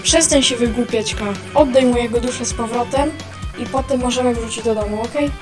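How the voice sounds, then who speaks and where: A woman's voice: a little high, fairly quick, music in the background, lightly acted. A woman in an amateur fan dub voicing a stern, protective guardian with a firm, admonishing manner. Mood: exasperated.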